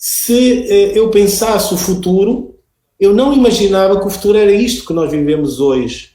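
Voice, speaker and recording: A man whose first language is Portuguese, speaking slowly and hesitantly in Spanish and then Portuguese with a Portuguese accent, as a guest speaker on a panel. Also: high-pitched, warm tone, reverberant. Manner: slow, deliberate, hesitant. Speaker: invited speaker, school librarian expert. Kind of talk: reading a text aloud in halting Spanish, then talking in Portuguese.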